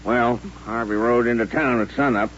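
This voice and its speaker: Actor as a folksy barkeep, muffled old recording. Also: male voice, medium pitch, fairly even intonation, moderate pace, fairly bright tone, natural voice. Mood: puzzled, gossipy. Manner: folksy, colloquial. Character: plain-spoken, wry frontier barkeep. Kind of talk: old-time radio western acting. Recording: dull, noisy, humming old radio recording.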